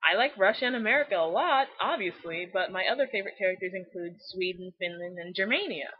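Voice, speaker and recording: A woman's voice: medium pitch, expressive, fast talker, slightly rough. A young woman hosting a fan video with scripted commentary, sarcastic, exaggerated and profane, on a lo-fi mic. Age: young adult. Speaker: host of a fan video series.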